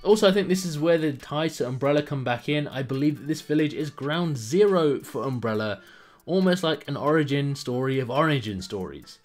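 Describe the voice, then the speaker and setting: Guy with a slightly high voice doing gaming youtube narration, scripted and emphatic, music behind him. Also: animated, a little fast. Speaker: YouTube gaming channel host. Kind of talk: scripted video essay narration.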